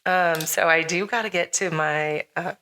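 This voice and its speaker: A middle-aged woman with a slightly hoarse, raspy voice hosts a casual podcast chat, rambling with lots of fillers and frequent laughs. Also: fairly low pitch, fairly even intonation, moderate pace, sharp tone. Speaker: podcast host.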